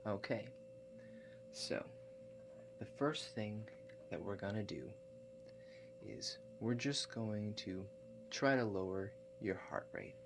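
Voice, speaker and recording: Slow, low-voiced man doing soothing ASMR. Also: music in the background. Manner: hushed, halting, soothing, with gentle check-in questions. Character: calm, awkward house-call relaxation agent. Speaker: ASMR performer. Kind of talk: ASMR roleplay, softly spoken personal attention.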